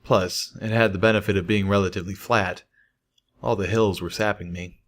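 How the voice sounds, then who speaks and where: Low-voiced man narrating a story. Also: fairly even intonation, moderate pace. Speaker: audiobook reader of fan fiction. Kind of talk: scripted first-person story narration.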